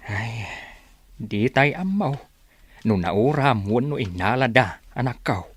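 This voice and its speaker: A man's voice: medium pitch, lively intonation, slow, slightly rough, dry recording. An actor with an Ilocano accent playing a cautious, worried village father in a radio drama.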